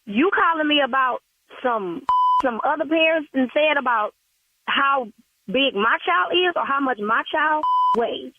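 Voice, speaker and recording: Blunt middle aged lady on a tinny phone line, high voice, very colloquial. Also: expressive, unhurried, dry recording. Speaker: phone call recipient, a parent. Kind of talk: spontaneous phone conversation. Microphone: telephone.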